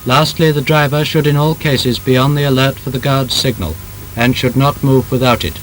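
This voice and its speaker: A British man narrates a vintage training film in a formal, measured voice. His delivery is emphatic and scripted, in the manner of 1930s instruction. The old soundtrack carries a faint rumbling noise, and his pitch sits in the middle of the male range. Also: rough recording.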